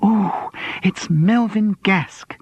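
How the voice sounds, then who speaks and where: An excitable, saucy woman from an audiobook, put on by a male narrator. The voice jumps high and swoops about as it gushes and squeals with gossipy relish. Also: measured pace, slightly rough, no room sound, dull audio, heavily acted. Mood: excited hiss. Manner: squealing, gushing, stage-whispered. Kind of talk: audiobook character dialogue.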